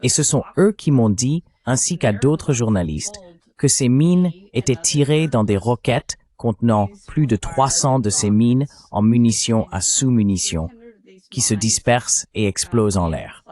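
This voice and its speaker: A man's voice: medium pitch, lively intonation, unhurried, mellow. A man speaking as a dubbed interview guest, recounting events at length with indignation.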